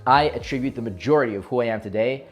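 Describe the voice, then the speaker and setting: Young guy doing an advice video, talks really fast, emphatic and teachy, bit of guitar music under it. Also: medium pitch. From Israel. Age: young adult. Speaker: video host giving self-improvement advice. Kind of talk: direct-to-camera explainer.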